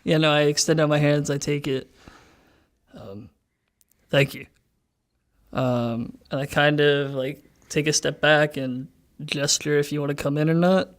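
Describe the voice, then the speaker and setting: A man's voice: a little high, fairly even intonation, unhurried, pauses often. A man chatting casually as a player in a tabletop role-playing game.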